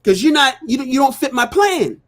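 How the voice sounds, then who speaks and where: A man's voice: very high voice, sing-song, fairly quick, a bit bright. A man co-hosting a casual live stream, laying out his views earnestly and repeating points to drive them home. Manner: earnest, explanatory, builds points by repetition; frequent "you know what I'm saying". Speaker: co-host of a live talk stream.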